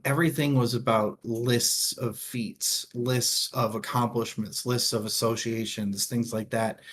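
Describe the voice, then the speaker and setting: Male co-host for a casual book talk, mid-pitched, halting, thinking out loud. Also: a little hiss or hum. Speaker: co-host of a book discussion show.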